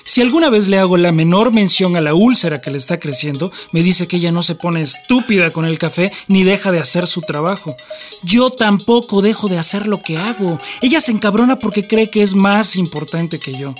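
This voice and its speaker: A man's voice: high-pitched, fairly quick, natural voice. A man playing a touchy, boastful, sociable husband who drinks, in an aggrieved radio drama monologue. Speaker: actor in a radio play. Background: music.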